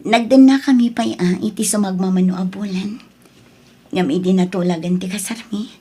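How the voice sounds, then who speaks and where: A woman acts out an affectionate Filipino teacher in an audio drama. She speaks tenderly in a husky, middle-pitched voice, gently coaxing. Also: fairly even intonation, moderate pace, close to an everyday voice. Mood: confiding, tender. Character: affectionate, gentle schoolteacher in love.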